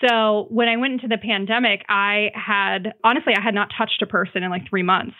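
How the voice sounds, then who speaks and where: Narrow lo-fi connection, woman, thirties, podcast guest, mid pitch, chatty, emphatic, self-joking. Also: fairly even intonation, a little fast. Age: 30s. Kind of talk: casual chat, personal anecdotes.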